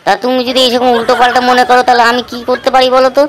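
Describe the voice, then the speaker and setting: A woman's voice: high-pitched, monotone, racing, a little hiss or hum, lightly acted. A woman voicing a cheeky young male village prankster in a comedy cartoon, with slangy, teasing banter. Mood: placating.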